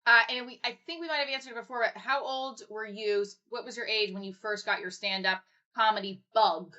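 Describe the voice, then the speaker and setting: A woman's voice: a little high, steady tone, brisk, sharp tone. A woman hosting a casual livestream chat, asking loose, rambling questions with a self-deprecating streak. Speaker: livestream host. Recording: dull lo-fi stream audio.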